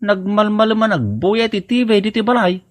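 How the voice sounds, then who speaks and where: A man's voice: high-pitched, moderate pace, natural voice. A man with an Ilocano accent playing an inquisitive son in a village comedy drama, talking in a questioning, colloquial manner. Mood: puzzled, teasing.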